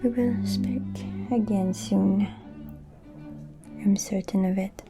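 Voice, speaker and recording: A woman playing a poised, manipulative vampire noblewoman in a whispered ASMR roleplay, insinuating and deliberate in a breathy voice. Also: mid-range voice, fairly even intonation, warm tone, faint music behind. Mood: stately, knowing.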